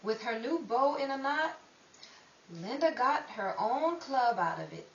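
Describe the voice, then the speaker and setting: A woman's voice: high voice, animated, measured pace. A woman playing a world-weary, streetwise narrator of a 1930s underworld tale, in dramatic old-time radio style. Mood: wry, ominous. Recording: echoey, distant mic, dull, faint hiss.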